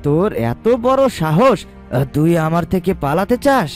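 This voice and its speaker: A menacing cartoon sorcerer, acted out by a man, speaks in a fairly high voice at a slow, deliberate pace. He boasts and bullies as he threatens. Light music plays behind him. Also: very animated, full character voice. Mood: threatening, gloating. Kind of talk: cartoon villain acting.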